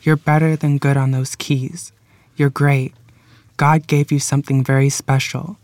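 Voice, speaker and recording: Even, earnest, measured man reading a kind woman musician in a period drama, dry sound. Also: medium pitch, dry recording, lightly acted. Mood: earnest, tender. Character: Doris, steady, kind woman musician and bandleader. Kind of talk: screenplay table read.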